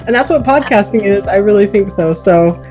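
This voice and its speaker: A woman's voice: mid-range voice, expressive, a little fast, warm tone, faint music behind, low-quality recording. A woman hosting a podcast in an effusive, encouraging, chatty way. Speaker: podcast host. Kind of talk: warm, appreciative interview chat and sign-off.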